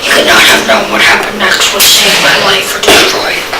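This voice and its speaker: A man's voice: high-pitched, very animated, a little fast. A male student performer reading a dramatic scripted monologue aloud. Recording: very noisy echoey room, distant mic.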